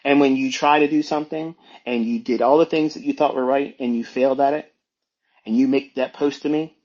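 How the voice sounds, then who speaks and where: Male educator, informal monologue. Husky, emphatic, admonishing delivery with repeated phrases, on a dull lo-fi recording. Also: medium pitch, fairly even intonation, fairly quick, darkish tone. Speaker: trading educator hosting an online audio talk. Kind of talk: informal unscripted monologue, lecturing.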